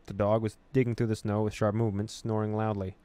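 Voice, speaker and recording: Gamer guy narrating a story game, smooth clean voice kinda deep, expressive with casual reactions. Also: fast, no room sound. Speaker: gaming video host. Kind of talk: reading game text aloud with casual reactions.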